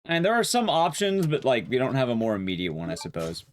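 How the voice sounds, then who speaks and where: A young man with a loud, carrying voice chatting casually with his viewers while streaming a game, rambling and restarting sentences as he goes. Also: fast, slight background noise. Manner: rambling, restarts sentences, lively rises and drops in pitch. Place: his room at home, soundproofed.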